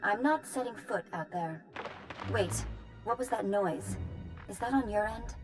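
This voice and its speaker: A woman's voice: mid-range voice, animated, unhurried, close to an everyday voice. Woman playing a frazzled, blunt scientist. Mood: anxious, irritated. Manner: blunt, urgent. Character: frazzled, outspoken female scientist in danger. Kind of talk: video game voice acting. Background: game music.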